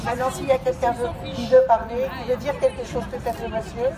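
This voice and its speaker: A woman's voice: fairly high pitch, flat delivery, quick pace. A woman making an impassioned, repetitive outdoor protest speech, with fillers and repeated phrases. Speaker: protest organizer. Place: outdoors on a city square at a protest. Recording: street protest with a crowd around.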